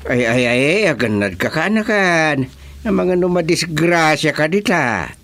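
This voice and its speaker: Casting: male actor as anxious, exclaiming Ilocano uncle for rural comedy radio play; slow delivery, very lively intonation. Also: a little high. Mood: alarmed, fretful. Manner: exclamatory, drawn-out interjections. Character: fussing, worried village uncle to a daredevil nephew.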